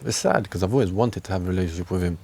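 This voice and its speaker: Low-voiced man chatting candidly. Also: racing, darkish tone, breathy edge. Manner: emphatic, repeats phrases, tags with "right". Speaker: interviewee. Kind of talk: casual, candid conversation. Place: outdoors on a park bench.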